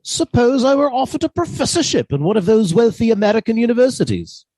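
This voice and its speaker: A man playing a meek, middle-aged British botanist husband, submissive and quietly sly, in a radio suspense drama. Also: extremely high pitch, big pitch swings, fast, dry recording, exaggerated voice. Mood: submissive, mild.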